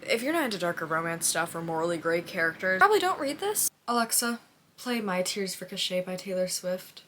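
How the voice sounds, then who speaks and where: A woman's voice: on the low side, sing-song, a little fast, fairly bright tone. Young woman book vlogger, background noise. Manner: dramatic, hyperbolic, emotive, slangy. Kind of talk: casual reading vlog, confiding chat to camera.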